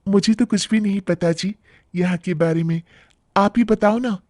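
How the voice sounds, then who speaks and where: Man putting on a high, whiny young wife. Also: normal speed, a little mellow, no room sound, clean studio sound, lightly acted. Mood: shy, curious. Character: young pizza-obsessed wife, sweet, whiny, naively greedy. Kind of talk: animated Hindi moral-story cartoon acting.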